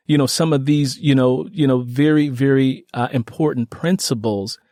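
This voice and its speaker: An American man speaks as an interview host in a very dry recording with hardly any room sound. His pitch sits in the middle for a man. He talks earnestly and reflectively, wandering through his thoughts with many "you know" fillers. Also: moderate pace, mellow, dry recording.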